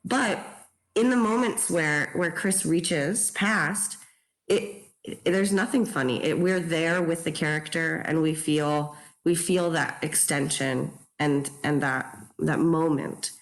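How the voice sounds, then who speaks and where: A woman reflecting haltingly on a theatre piece as a guest on a video call, repeating phrases. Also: deep voice. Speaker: guest from a theatre production team. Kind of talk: reflective discussion.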